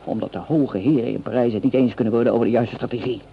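A coarse, sarcastic foot soldier played by a male radio actor grumbles and mocks with a hot temper. His voice is gravelly and emotional, in a medium register. The old radio play recording is dull, with faint noise. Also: mid-range voice, fairly even intonation, quick pace, lightly acted. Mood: bitter, heated. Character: cynical, hot-tempered French common soldier, grumbling and mocking. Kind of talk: Dutch radio play acting.

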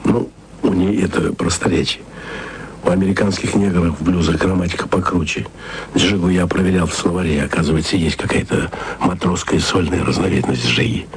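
Wistful radio archivist, deep voice, intimate, hushed, radio drama. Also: male voice, fairly quick, a little hiss or hum. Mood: musing. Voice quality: low, gravelly voice. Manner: soft, intimate, sometimes whispered. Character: tender, wistful male radio archivist addressing his beloved. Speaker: radio man speaking to his distant lover. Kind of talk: radio play acting.

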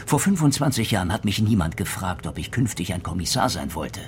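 An actor playing a gruff, sardonic older retired police inspector in a German radio crime play, with a commanding, sarcastic manner. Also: male voice, low-pitched, very animated, brisk, slight background noise.

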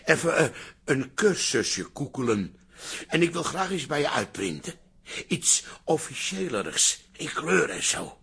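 An actor playing a loud, cheeky, blustering old father in a radio comedy drama. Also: on the high side, very animated, a little slow, gravelly, heavily acted. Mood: jovial, wheedling. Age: older.

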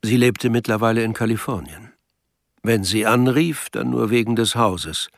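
A man's voice: on the low side, normal speed, creaky, no echo, clean studio sound. A man reading scripted literary narration as the narrator of a radio drama.

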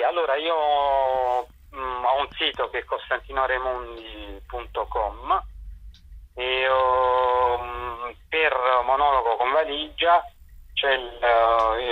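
A man's voice: mid-range voice, sharp tone. A middle-aged man explains things in an interview, hesitating and searching for words. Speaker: theatre director and performer. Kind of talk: informal spoken interview answers. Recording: dull lo-fi telephone-radio sound.

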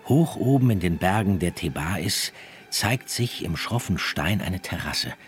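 A man narrating a radio drama in a measured, poetic, descriptive way, as a grave scene-setter. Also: deep voice, lively intonation, soft background music. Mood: solemn, descriptive. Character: grave, unhurried scene-setting male narrator.

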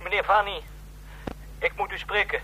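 An actor playing a nervous, submissive subordinate agent, hesitant and deferential, in a radio drama. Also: male voice, high voice, steady tone, moderate pace, sharp tone, breathy edge, full character voice, poor audio. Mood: distressed. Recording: vintage radio play with faint hum.

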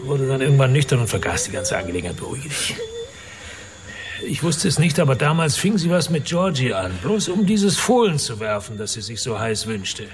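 A man's voice: mid-range voice, sing-song, a little fast, close to an everyday voice. An actor playing a crude, boastful, middle-aged wealthy father in a radio drama, with a mocking, theatrical manner. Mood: confessing.

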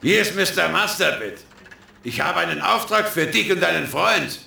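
Scheming hacker boss for radio play: high, very gravelly, smug and commanding, slow theatrical delivery. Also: male voice, animated, bright, forceful tone, exaggerated voice. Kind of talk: children's radio drama acting. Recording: echoey, with faint noise.

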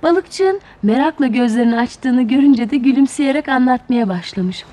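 A woman's voice: high voice, animated, normal speed, mellow. A woman narrating a children's fairy tale expressively, as a storyteller.

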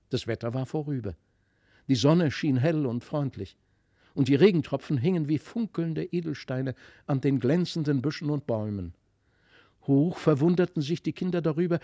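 A man reading a literary fairy tale as narrator, with measured, dramatic storytelling. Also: on the high side, dark tone, no room sound, clean studio sound. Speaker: narrator, audiobook reader. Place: dry studio.

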